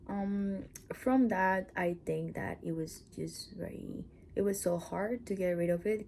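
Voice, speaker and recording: A woman's voice: medium pitch, gravelly, dark tone, a little hiss or hum. A woman from Mexico with a Mexican accent, Spanish as her first language, chatting in a casual personal vlog, rambling with asides and sometimes dropping to a whisper. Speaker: channel host.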